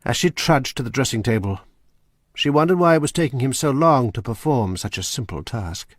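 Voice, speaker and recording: A man narrating a radio drama in a measured storytelling manner. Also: medium pitch, expressive, no echo, studio quality. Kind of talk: scripted narration.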